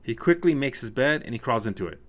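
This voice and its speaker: A male storyteller reads a scripted short story. His voice sits at a middle pitch and moves with very lively intonation. The delivery is that of an audiobook narrator. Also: quick pace.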